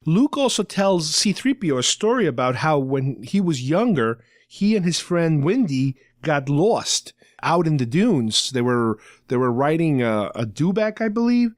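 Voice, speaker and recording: Higher-pitched man, casual chatty podcast host. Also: big pitch swings, a little slow, few pauses, no echo, studio quality. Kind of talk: casual fan discussion, retelling a story.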